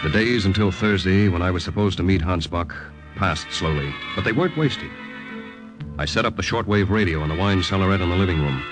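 An actor playing a proper, earnest young Allied spy, speaking and narrating in a measured, polite way in an old-time radio drama. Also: male voice, low voice, lightly acted. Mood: measured narration. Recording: vintage radio recording with faint music.

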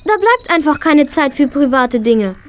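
A woman's voice: high-pitched, sing-song, normal speed. A woman playing an eager, earnest young girl who loves horses in a children's radio play. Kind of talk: German children's audio play acting. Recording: noisy vintage audio play recording.

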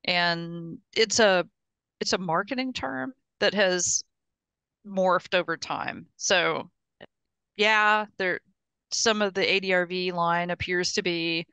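A woman's voice: lowish voice, steady tone, takes it slowly, long pauses, pushed and bright, clear, resonant tone, dry recording. A woman explaining hardware plans in a technical meeting over a video call, often restarting and repeating words as she thinks aloud. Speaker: engineering project lead in a video call.

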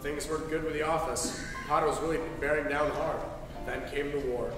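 A man acts a solemn celestial narrator for a radio play. He tells the tale in measured statements, a little high in pitch. He sounds distant in an echoing hall. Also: steady tone, natural voice. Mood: solemn storytelling. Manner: measured, declarative storytelling. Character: solemn male heavenly narrator briefing an underling. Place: on stage in a school auditorium. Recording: echoey distant hall, music behind. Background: music.

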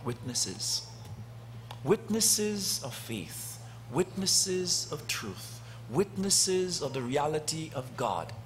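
Male priest, middle-aged, slightly high voice, slow deliberate sermon delivery with long dramatic pauses, faint background hiss. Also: very animated. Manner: slow and deliberate, long pauses, swelling loud then dropping soft. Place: church sanctuary, indoors. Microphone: lectern gooseneck mic.

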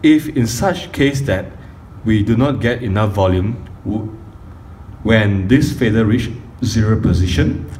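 A middle-aged man giving a step-by-step tutorial on audio gear, speaking deliberately in short phrases with pauses. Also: fairly low pitch. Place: indoor carpeted room. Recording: faint room noise, phone camera audio.

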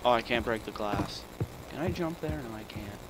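A man's voice: on the low side, lively intonation, normal speed. A boy gamer chatting casually, often crying out and grumbling when he gets killed. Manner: whiny, exclaims often. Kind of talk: casual gaming chat. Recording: noisy home mic.

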